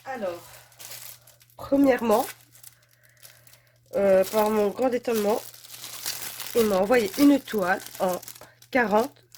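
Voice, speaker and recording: A woman's voice: mid-range voice, slow pace, pauses often, a little mellow, a little hiss or hum. A woman with a head cold, chatting casually and gushing through a product unboxing, with many fillers. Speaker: crafts channel host.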